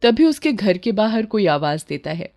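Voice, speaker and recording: A woman storyteller reads a scripted moral tale. Her voice sits at an ordinary middle pitch, in the manner of bedtime story narration. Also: big pitch swings, normal speed, darkish tone.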